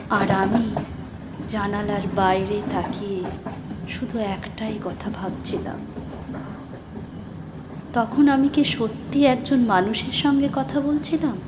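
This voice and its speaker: A woman's voice: mid-range voice, background noise. A woman playing an anxious young woman who recounts an eerie night in an emotive, suspenseful audio drama. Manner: emotive, suspenseful, drawn-out delivery. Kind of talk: dramatic scripted first-person story narration. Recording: music behind the voice.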